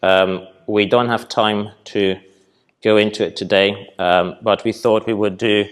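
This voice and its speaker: A young man from Poland presenting at a festival hall and taking audience questions, relaxed and hesitant with many ums. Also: fairly low pitch, a little slow, smooth. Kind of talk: conference talk with audience Q&A.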